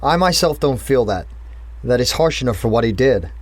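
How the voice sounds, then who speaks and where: An actor playing a stern school official who speaks formally and primly. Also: male voice, medium pitch, animated, normal speed, dry recording, lightly acted. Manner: formal and prim. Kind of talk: audio drama acting.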